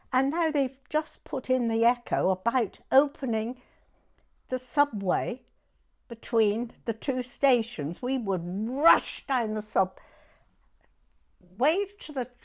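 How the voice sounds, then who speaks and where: Woman in her nineties, southern English, animated swooping pitch, unhurried, chatty oral history reminiscence. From southern England. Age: 90s. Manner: chatty, animated, repeats herself. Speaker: interviewee recalling her youth.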